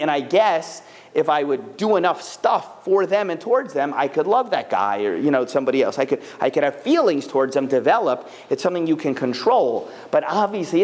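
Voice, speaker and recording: A man's voice: a little high, long reverb tail. A man talking casually and animatedly to a live audience, answering questions. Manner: chatty, animated, full of fillers.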